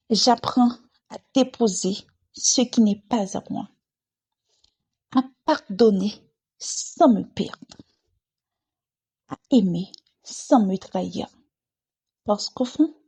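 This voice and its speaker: A woman performing a dramatic spoken-word monologue in short, weighted, deliberate phrases, with a soft, breathy voice. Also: medium pitch.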